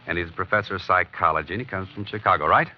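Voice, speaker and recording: A man plays a cocky investigator in a 1940s radio thriller. His voice is low, his delivery breezy and insinuating. The recording is muffled, with faint hiss. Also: very fast, close to an everyday voice. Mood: wry, needling. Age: young adult. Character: cocky, nosy male investigator, wry and persistent. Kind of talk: 1940s radio drama acting.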